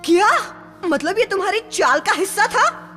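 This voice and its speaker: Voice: very high-pitched, animated, moderate pace, bright, forceful tone, soft background music, heavily acted. An actor voicing a spirited, stubborn young boy in a jungle cartoon, eager and defiant in delivery. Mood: indignant. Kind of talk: Hindi cartoon dub acting.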